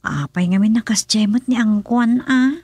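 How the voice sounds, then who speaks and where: A woman's voice: a little high, normal speed, a little mellow, slightly rough, no room sound. A woman playing a concerned family member in a radio drama comedy, with an Ilocano accent.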